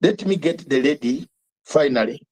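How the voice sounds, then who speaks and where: A man's voice: fairly high pitch, lively intonation, normal speed, a little mellow. An older man hosting a live online talk show, speaking emphatically with repetitions and rhetorical questions. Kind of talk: fiery live commentary.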